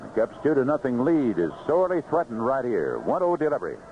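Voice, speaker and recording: A man's voice: mid-range voice, big pitch swings, a little fast. An American man calling live baseball play-by-play on the radio. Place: ballpark broadcast booth. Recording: noisy, muffled vintage AM radio broadcast.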